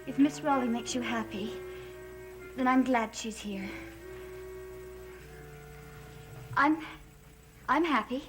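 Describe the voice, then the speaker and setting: A woman's voice: a little high, measured pace, over music, lightly acted, rough recording. An actress playing a lovestruck, defiant young woman in a gothic soap opera, intense and emotional. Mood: wistful.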